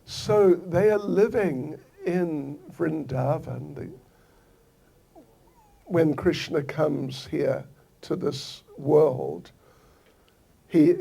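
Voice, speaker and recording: Older guy from new zealand giving a slow gentle sermon, dark mellow voice, kinda husky. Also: fairly high pitch. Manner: slow, gentle storytelling with soft laughs and repeated phrases. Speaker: swami giving a scripture lecture. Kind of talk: devotional lecture.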